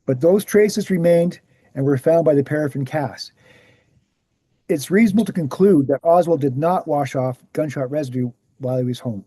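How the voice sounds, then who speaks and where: A man's voice: a little high, moderate pace, mellow, slightly rough, dry recording. A man playing a jovial, assured older researcher who can turn hard, in a screenplay table read. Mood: assured explaining. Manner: assured, explanatory.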